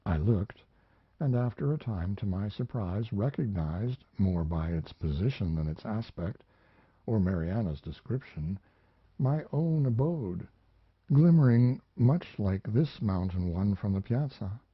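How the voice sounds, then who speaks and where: Man, audiobook narrator, slow delivery, husky voice, scripted literary reading. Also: fairly low pitch, animated, no room sound, muffled recording.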